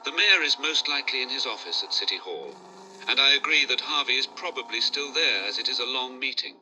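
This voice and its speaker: Casting: male actor as loyal, polite butler, mid-pitched, sharply bright tone, formal advice, faint car ambience behind. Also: animated, a little fast, dull audio, slight background noise. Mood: advising. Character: courteous male butler, advising and protective. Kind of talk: fan audio drama dialogue.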